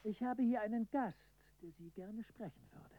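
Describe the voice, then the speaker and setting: A woman's voice: on the high side, moderate pace, natural voice. A woman playing a caller heard on a distant intercepted phone recording in a German radio drama. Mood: guarded. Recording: distant, quiet taped phone call.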